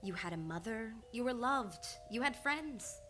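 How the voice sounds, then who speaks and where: A woman acting in an old-time radio drama as a measured, determined psychiatrist in love. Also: fairly high pitch, lively intonation, pushed and bright. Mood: coaxing. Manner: measured, controlled, earnest. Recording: live radio play with music behind.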